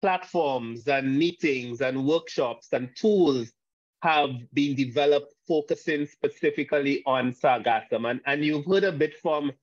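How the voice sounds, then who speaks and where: A man from Saint Lucia gives a panel talk over a video call, halting, with frequent restarts and fillers. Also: a little high, no echo. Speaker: guest speaker. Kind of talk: online panel presentation. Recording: dull lo-fi video call audio.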